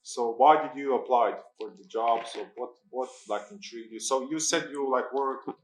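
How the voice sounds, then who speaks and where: A man's voice: medium pitch, moderate pace, roomy sound, rough recording. A man hosting a live industry talk who stammers, repeats words, and fills his questions with "like". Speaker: event host, interviewer. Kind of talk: live panel interview.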